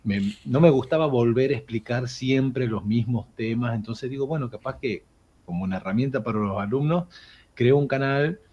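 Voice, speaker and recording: A man's voice: medium pitch, normal speed, breathy edge. A middle-aged Argentine man and English teacher, talking casually and explaining at length with many fillers. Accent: Argentine Spanish. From Argentina, Jujuy province. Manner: relaxed, explanatory, with frequent fillers. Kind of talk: casual interview conversation. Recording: dull lo-fi call audio with faint noise.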